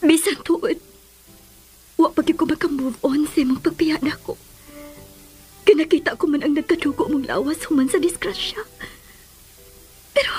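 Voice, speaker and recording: An actress playing a sorrowful, lovelorn middle-aged mother in a melodramatic radio drama, with a Filipino accent and a breathy, tearful delivery. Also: very high voice, a little mellow. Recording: radio drama with music underneath.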